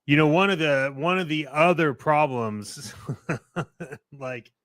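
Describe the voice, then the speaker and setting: A man's voice: fairly high pitch, slow pace, a bit bright, no echo, clean studio sound. A man co-hosting a podcast, chatting casually in a blunt, slangy, conversational way. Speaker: podcast co-host.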